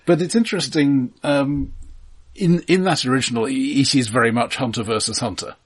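A man's voice: medium pitch, moderate pace, breathy edge. A man co-hosting a film podcast, talking casually with dry, wry asides. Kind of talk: casual conversational film discussion.

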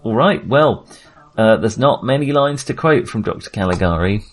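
Low voiced guy hosting a film podcast, rambly and casual, bit self-deprecating. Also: animated, normal speed.

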